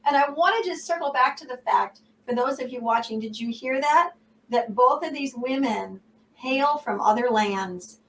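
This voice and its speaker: American woman host, upbeat and gushing, bright-pitched, a little far from the mic. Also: slight background noise. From United States. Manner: effusive, enthusiastic. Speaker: show host. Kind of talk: online talk show hosting.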